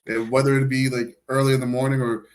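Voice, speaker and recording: A man chatting casually as a podcast guest, relaxed, with stammers and "you know what I mean". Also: mid-range voice, quick pace, slight background noise. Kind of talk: casual chat.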